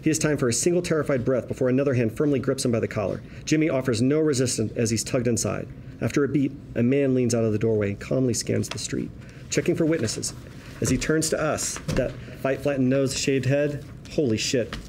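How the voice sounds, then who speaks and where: A man's voice: medium pitch, fairly quick, gravelly, darkish tone. A man reading stage directions at a script table read, with a vivid, wry storytelling manner. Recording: table read room with background noise.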